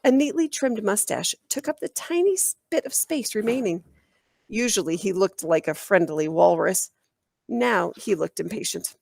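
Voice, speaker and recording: A woman reading a children's book aloud in an animated, expressive storytelling style. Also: mid-range voice, moderate pace, vocal fry, dry recording, studio quality. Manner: animated, acts out dialogue.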